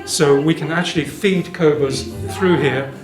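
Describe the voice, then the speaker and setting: A man's voice: fairly high pitch, measured pace. A man giving an informal, detailed product demonstration as an exhibitor, explaining technical points. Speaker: exhibitor presenting hi-fi equipment. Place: indoor hi-fi show demonstration room. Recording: roomy show demo room with music playing. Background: music playing.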